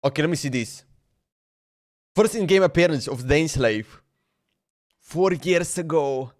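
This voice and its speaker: A man's voice: on the high side, unhurried. A man streaming a game, chatting loosely and laughing, often saying "bro" and "man.". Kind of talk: casual livestream chat while playing.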